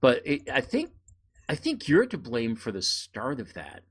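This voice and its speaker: A man's voice: mid-range voice, wildly swooping pitch, unhurried, breathy edge. A man chatting casually as a podcast co-host, in a rambling, self-deprecating way.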